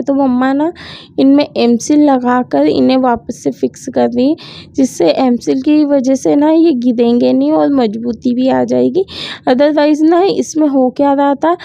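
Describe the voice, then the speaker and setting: A woman's voice: sits high, vocal fry, dark tone, dry recording. A young woman vlogger with a sore throat, chatting casually as she narrates her day and mixes English words into her talk. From India. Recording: dull lo-fi sound.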